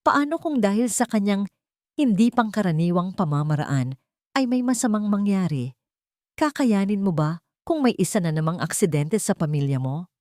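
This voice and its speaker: A woman's voice: mid-range voice, animated, normal speed, a little mellow, no room sound, clean studio sound, natural voice. A woman voicing a calculating female therapist who speaks with careful, feigned professional concern.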